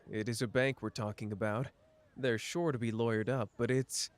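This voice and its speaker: A man's voice: medium pitch, close to an everyday voice. A man playing a wry, teasing young schemer. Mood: reassuring. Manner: dry, teasing, quick-witted, close and intimate. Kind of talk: ASMR listener roleplay.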